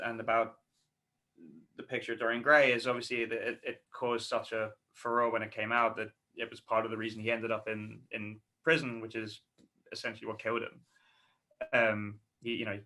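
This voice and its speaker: A man's voice: mid-range voice, sharp tone. A man writer on a panel, musing in a casual tone with many restarts.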